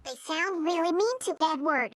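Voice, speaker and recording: A woman's voice: extremely high pitch, normal speed, no echo. A woman voicing a sweet, curious young female virtual singer character, cutesy, polite and eager.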